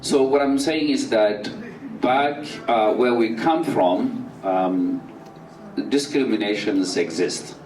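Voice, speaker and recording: A man from Somalia giving a public talk in a slow, halting way, with many fillers and restarts. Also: mid-range voice, darkish tone, distant mic, slight background noise. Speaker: speaker at a community talk. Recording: roomy, off-mic, faint music behind.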